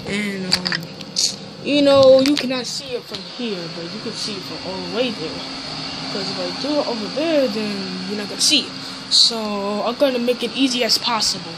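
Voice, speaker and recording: A man's voice: high voice, normal speed, noisy background. A young man casually rambling through a home vlog, full of fillers and restarts, recorded on a phone in a small dim bedroom. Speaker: YouTuber. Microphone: phone camera moved around room.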